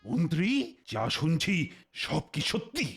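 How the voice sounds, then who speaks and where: A man's voice: fairly high pitch, very animated, measured pace, vocal fry, heavily acted. A man voicing a gullible, hot-tempered king in a Bengali comedy cartoon, with a blustering, theatrical delivery. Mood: furious. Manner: blustering, stammers when flustered. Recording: music behind the voice.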